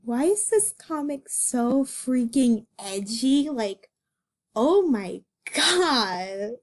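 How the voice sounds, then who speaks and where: Slow, exasperated woman giving casual commentary. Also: a little high, very animated. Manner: exasperated, slangy. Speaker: amateur reader and commentator.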